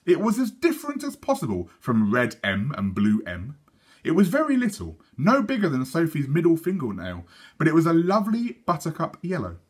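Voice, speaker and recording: A British man, a teacher, reading a storybook aloud to children in an animated way. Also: fairly high pitch, moderate pace, husky.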